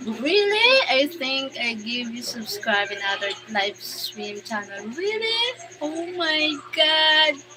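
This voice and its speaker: A woman's voice: high voice, sing-song, slow, few pauses, poor audio. A Filipino woman in her forties, chatting casually on a live stream, reading out comments, thanking viewers by name and laughing often. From Philippines. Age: 40s. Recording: music playing under voice. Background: music playing.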